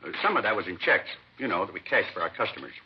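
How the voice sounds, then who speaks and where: An actor playing a fussy, self-satisfied nightclub owner, chatty and offhand, in a 1950s radio crime drama. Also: male voice, deep voice, sing-song, rapid-fire, vocal fry, full character voice. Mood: offhand, distracted. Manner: chatty, offhand, breaking off into kitchen asides. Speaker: club owner being questioned. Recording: muffled, noisy vintage radio recording.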